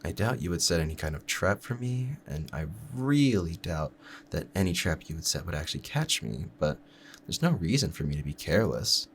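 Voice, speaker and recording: A man playing a calm, proud assassin in love, both menacing and tender, talking to the listener in a measured, formal, confiding way in an audio roleplay. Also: deep voice, a little hiss or hum. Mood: smug, amused. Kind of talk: scripted romantic ASMR audio roleplay.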